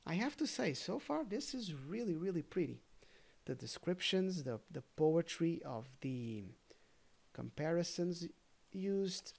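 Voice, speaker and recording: A storybook reader telling a fairy tale in a careful, gently expressive way, with casual asides. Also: medium pitch, a little slow, gravelly.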